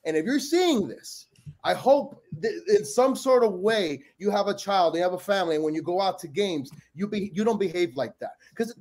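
A man from Peru in blunt, animated casual sports chat, cursing freely as a podcast panelist. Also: high voice, normal speed.

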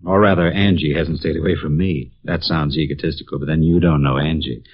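An actor playing a brooding, cynical young psychology professor in a 1950s radio drama, speaking in a somber way. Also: male voice, a bass voice, steady tone, brisk. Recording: dull lo-fi 1950s radio broadcast.

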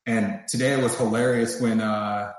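A man's voice: fairly low pitch, flat delivery, moderate pace. A man co-hosting a casual podcast chat, telling stories in an amused, rambling way. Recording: very echoey room.

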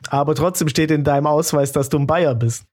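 A man's voice: fairly high pitch, fairly even intonation, fairly quick, darkish tone. A man from southern Germany discussing things casually and with opinions as a podcast co-host. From southern Germany, Swabia.

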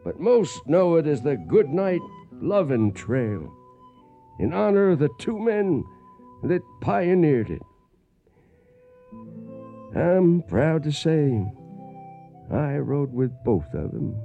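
An actor playing a plainspoken old cowhand, narrating a radio western in a folksy, reflective way. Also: male voice, on the high side, big pitch swings, slow pace, pauses often, phone-quality sound, faint music behind, exaggerated voice. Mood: proud. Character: plainspoken old cowhand recalling his trail days.

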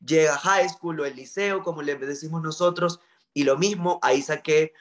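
A man's voice: on the high side, moderate pace. A man from Venezuela with a Maracaibo accent, a journalist telling his life story in an interview, earnest and reflective with frequent fillers. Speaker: journalist guest.

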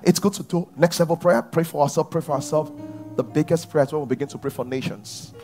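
A man preaching a sermon to his church, at times rising to shouts. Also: a little high, fast, soft background music. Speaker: pastor.

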